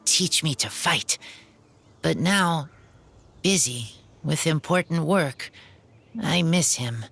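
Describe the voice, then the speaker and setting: A young man voicing a shy, earnest wolf-raised teenage boy who speaks in halting, broken phrases. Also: on the high side, lively intonation, gravelly, bright, forceful tone, full character voice. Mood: pensive. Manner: halting broken phrases, short simple words. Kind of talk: game cutscene voice acting.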